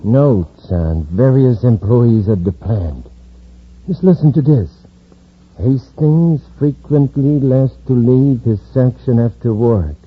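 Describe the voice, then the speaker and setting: A man's voice: mid-range voice, expressive, slow, husky. A man playing a suspicious, bossy criminal ringleader with a curt manner in a 1950s radio serial. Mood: suspicious, impatient. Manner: curt, commanding, distrustful. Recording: tinny, narrow old radio recording.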